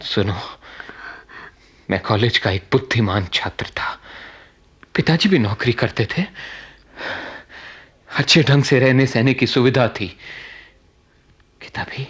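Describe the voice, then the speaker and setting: An actor playing a bitter, troubled young man in a Hindi radio play, with a halting, dramatic delivery that repeats phrases. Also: medium pitch, fairly bright tone, slightly rough. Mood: sorrowful, confessional. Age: young adult.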